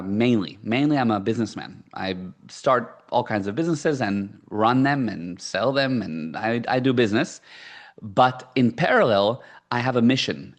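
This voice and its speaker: Guest: middle-aged man, South African-born, first language Hebrew, mid-range voice, emphatic and repetitive delivery, casual podcast chat. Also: medium pitch. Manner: emphatic, repeats key phrases. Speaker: guest, entrepreneur and coach.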